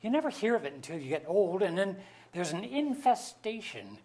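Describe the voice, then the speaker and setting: A woman's voice: mid-range voice, sing-song, moderate pace, mellow, natural voice. A woman playing a droll, grumbling husband nearing sixty in a staged play reading, telling wry anecdotes.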